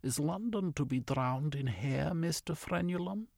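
A man's voice: on the high side, expressive, unhurried, creaky, a little mellow, no echo, studio quality, voice pushed up, heavily acted. A man voicing a headstrong young woman adventurer in an audiobook, speaking primly in a raised, lighter voice. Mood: concerned.

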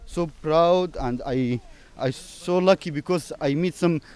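Syrian man speaking halting English. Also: fairly high pitch, a little mellow, clean tone. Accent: Syrian Arabic. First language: Arabic. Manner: halting broken English, searching for words. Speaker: refugee interviewee. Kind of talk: field interview. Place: outdoors on a dirt road between farm fields.

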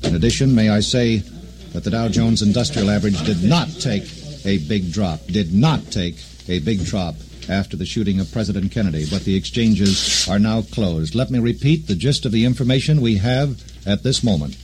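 A man with a fairly low voice anchors a breaking news bulletin. He speaks deliberately and goes back over key phrases. It sounds like a 1960s radio broadcast. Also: noisy background.

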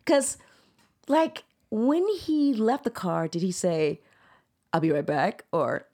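An American woman in her forties chatting casually as a podcast guest, exclamatory and animated in how she talks. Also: mid-range voice, takes it slowly. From United States, grew up in Houston and Orlando. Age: 40s. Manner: animated, exclamatory, acts out imagined lines. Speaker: podcast guest, stage actor. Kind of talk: casual chat.

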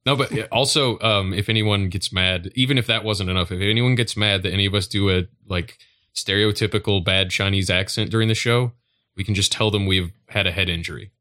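A male podcast co-host from the United States with a bright, punchy voice. He sits on the low side in pitch and talks casually, joking irreverently as he goes. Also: fairly quick. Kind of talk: casual comedic podcast chat.

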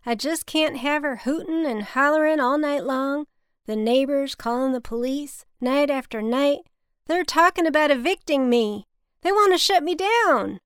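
A woman's voice: high-pitched, normal speed, no echo, clean studio sound, voice pushed up, natural voice. A woman voicing a folksy, exasperated but kind businessman with a Southern US drawl, in an audiobook story.

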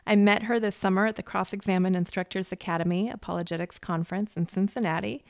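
A woman's voice: medium pitch, steady tone, fast, gravelly, no room sound, studio quality. A woman hosting a podcast, upbeat and enthusiastic, laughing readily as she introduces and questions her guest. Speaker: podcast host. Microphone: video call.

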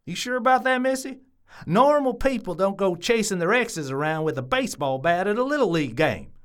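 A man's voice: high voice, animated, fairly quick, fairly bright tone. A man playing an earnest, lovestruck and protective suitor in an audio drama. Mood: alarmed, scolding.

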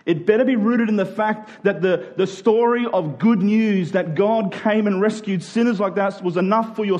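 Man, preacher, slightly high pitch, emphatic sermon delivery, conversational, informal, drawn-out key words. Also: darkish tone. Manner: conversational, informal asides to listeners. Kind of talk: sermon to a congregation.

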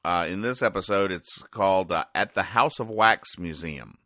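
Very deep-voiced man, deadpan and halting. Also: dry recording, clean studio sound. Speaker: podcast host. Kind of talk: casual comedic recap of newspaper comics.

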